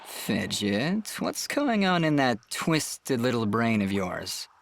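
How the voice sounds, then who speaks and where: A calm young fantasy hero, kind and a little wry, with a mid-range voice. Faint game ambience sits behind him. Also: male voice, mid-range voice, slow, creaky, noisy background, exaggerated voice. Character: earnest young male swordsman hero, kind but wry. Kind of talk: video game voice acting.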